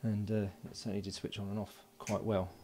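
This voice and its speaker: A man giving casual hands-on commentary as an electronics hobbyist, informal and halting as he thinks aloud while working. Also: fairly low pitch, level tone, warm tone, a little hiss or hum. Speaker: electronics hobbyist presenting a build. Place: indoors at a workbench.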